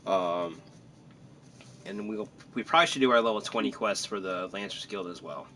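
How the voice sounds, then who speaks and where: A man chatting casually as he streams a game, joking and rambling in an animated way. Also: fairly low pitch, normal speed. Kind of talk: casual gameplay commentary and chat.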